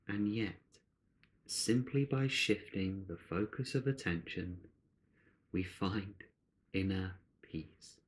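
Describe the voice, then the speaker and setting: A man with a fairly low voice guides a meditation. He speaks very slowly and gently, setting out short phrases with long pauses between them.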